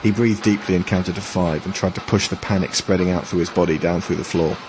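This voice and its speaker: A man's voice: deep voice, steady tone, a little fast, noisy background. A man reading scripted audio drama narration as the storyteller.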